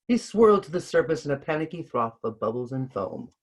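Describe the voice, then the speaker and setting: A man reading a children's story aloud with expressive narration. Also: medium pitch, a little fast. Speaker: story reader.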